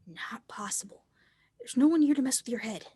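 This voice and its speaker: Woman acting a teenage snowboarder, high-pitched, dry sound, upbeat, supportive, screenplay read. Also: expressive, a little fast, mellow, breathy edge, dry recording. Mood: hushed, tender.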